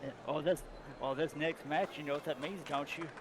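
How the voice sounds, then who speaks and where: A tired man co-commentating sumo casually, with dry, deadpan humor. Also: a little high, fairly quick, noisy background.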